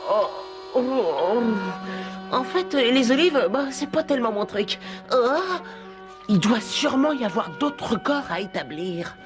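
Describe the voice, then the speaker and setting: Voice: medium pitch, lively intonation, a little slow, close to an everyday voice. A performer voicing a self-important, solitary schoolboy in a French cartoon dub, talking pompously to himself. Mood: flustered. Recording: music playing behind the voice.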